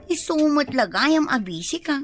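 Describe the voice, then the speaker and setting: High-voiced, nagging Ilocano village mother. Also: expressive, moderate pace, heavy background noise. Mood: neighborly, busy. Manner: scolding, exasperated. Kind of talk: Ilocano radio comedy-drama acting.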